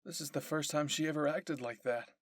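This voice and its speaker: A man's voice: fairly high pitch, fairly quick, dry recording, clean studio sound, close to an everyday voice. A man playing a protective, stubborn young male soldier in an audio story, with a firm, insistent manner. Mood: reassuring.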